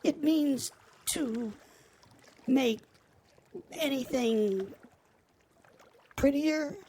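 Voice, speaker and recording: A man's voice: very high voice, extremely slow, gravelly, a little mellow, slight background noise, voice pushed up, full character voice. A man voicing a polite, curious young girl who asks earnest questions.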